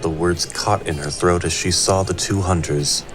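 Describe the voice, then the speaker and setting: A man's voice: deep voice, steady tone, moderate pace, close to an everyday voice. A man narrating a fantasy audio drama as a grave storyteller. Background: clear music bed.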